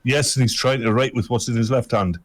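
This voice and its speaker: A man's voice: mid-range voice, fairly quick. Guy cohost, ordinary voice, tossing in dirty jokes. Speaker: co-host. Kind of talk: casual joking banter.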